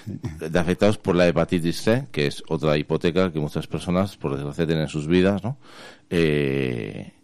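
Deep-voiced Spanish radio host. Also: male voice, moderate pace, a little mellow, dry recording. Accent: Spain. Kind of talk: conversational radio interview. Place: radio studio.

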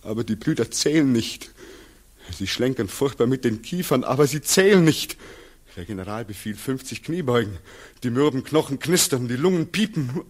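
A man's voice: mid-range voice, animated, moderate pace, close to an everyday voice. An actor playing a tormented returning soldier in a 1940s radio drama, theatrical and obsessive as he recounts a nightmare. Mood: haunted, agitated. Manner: theatrical, obsessive, swinging from hushed murmurs to frenzied cries. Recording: muffled, husky 1940s radio recording.

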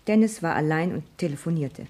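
A tired vicar's wife, dark husky voice, cool and guarded, radio drama. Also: female voice, sits low, a little fast. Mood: earnest, firm. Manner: guarded, drily rebuffing. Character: vicar's wife, weary, cool and self-possessed. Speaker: actor in a radio play. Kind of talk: German radio play acting.

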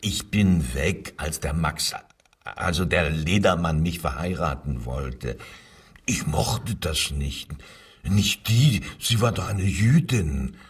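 An actor playing a submissive, evasive railway worker under interrogation, hesitant and deferential, in a crime radio drama. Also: male voice, low-pitched, animated, slow, husky, distant mic, heavily acted. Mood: cornered, hesitant.

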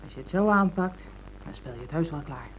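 An insistent wife, thin phone-like sound. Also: female voice, deep voice, lively intonation, fairly quick, slight background noise. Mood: persuasive, goading. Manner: insistent, reproachful, persuasive. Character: pragmatic, pushy middle-aged wife urging on her husband. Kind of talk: Dutch radio play acting. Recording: thin, phone-like old radio play recording.

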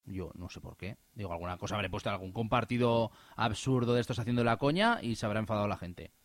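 A man telling an animated, indignant personal story in casual radio chat, with many restarts. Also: lowish voice, fast talker, no room sound. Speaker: radio host.

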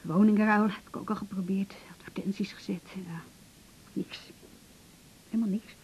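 An actress playing a depressed, pleading woman patient in a radio drama, halting and stammering in short broken phrases. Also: steady tone, a little mellow, close to an everyday voice. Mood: dejected. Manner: halting, stammering, repeats words, breaks into short fragments. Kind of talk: naturalistic 1980s Dutch radio drama acting. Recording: noisy older radio recording with rumble.

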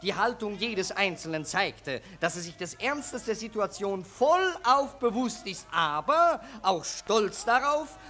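A man's voice: high-pitched, lively intonation, slow, hardly any pauses, pushed and bright, full character voice. A man playing a pompous, self-important, cynical Viennese newspaper reporter in a 1940s German radio play, declaiming emphatically. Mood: grandiloquent.

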